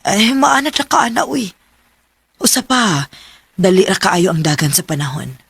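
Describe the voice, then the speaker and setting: A woman's voice: medium pitch, big pitch swings, normal speed, creaky, a bit bright, husky, no echo, heavily acted. An actress playing a practical, affectionate middle-aged mother in a radio drama, reassuring and chatty, with a Cebuano accent. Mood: reassuring, concerned.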